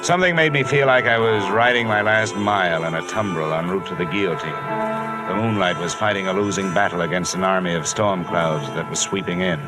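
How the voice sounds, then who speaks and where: A hard-boiled insurance investigator played by a man talks in a low, wry voice. His delivery is clipped and sardonic, in classic radio detective style. Music is clearly present behind him. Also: a little fast, natural voice, rough recording. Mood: tense narration. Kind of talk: old-time radio detective narration and dialogue.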